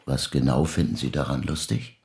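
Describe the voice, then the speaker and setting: A man playing a calm, patient psychoanalyst in a naturalistic radio drama, measured and probing. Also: rumbling low, gravelly.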